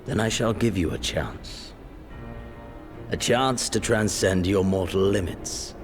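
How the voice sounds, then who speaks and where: Low-voiced cold prince, imperious, aloof, menacing, measured, video game villain, music underneath. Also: male voice. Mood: cool, imperious. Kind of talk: dramatic video game acting.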